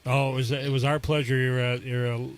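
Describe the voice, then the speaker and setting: A man interviewing a guest on a casual radio show in a deferential, slightly halting way. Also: mid-range voice, flat delivery, clean tone.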